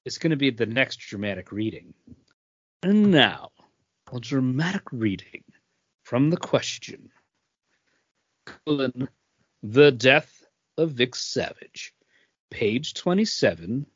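A man's voice: mid-range voice, very animated, slow, pauses often, husky, no echo. A man co-hosting a podcast in casual chat, easygoing and steering into the next segment. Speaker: podcast co-host. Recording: dry, dull lo-fi podcast audio.

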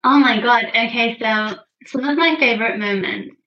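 A woman's voice: fairly high pitch, moderate pace, highs cut off. A woman speaking as a podcast guest in casual conversation, effusive and candid, with informal phrasing peppered with "like". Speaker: podcast guest, finance blogger and writer. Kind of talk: casual interview chat.

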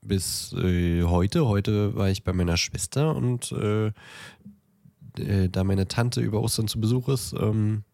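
A man's voice: on the low side, measured pace, creaky, a little mellow, dry recording, clean studio sound. A man co-hosting a podcast, chatting casually and informally with a teasing streak. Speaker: podcast co-host.

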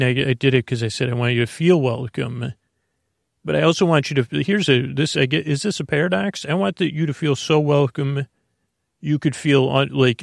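Creaky-voiced man rambling softly. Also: mid-range voice, no echo, clean studio sound. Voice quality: creaky, dulcet. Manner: meandering, slow, self-interrupting. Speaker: sleep podcast host. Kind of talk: rambling bedtime monologue.

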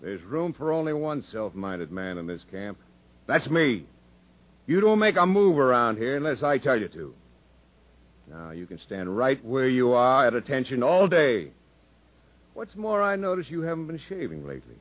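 Guy playing tough no-nonsense prison captain, old radio drama, big swings in pitch, dull vintage sound. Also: very animated, moderate pace, close to an everyday voice. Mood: stern, commanding. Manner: commanding, clipped, authoritative. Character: stern, blunt prison camp captain, fair but unbending. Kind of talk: 1950s radio drama acting. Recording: dull 1950s radio broadcast recording.

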